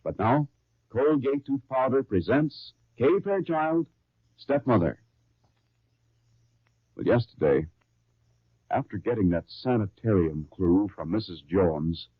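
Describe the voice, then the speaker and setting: A man announcing and recapping a radio serial in brisk, salesy 1930s style. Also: medium pitch, breathy edge, no echo. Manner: brisk, salesy, then storytelling. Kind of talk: 1930s sponsor ad and program intro. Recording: tinny 1939 radio broadcast recording.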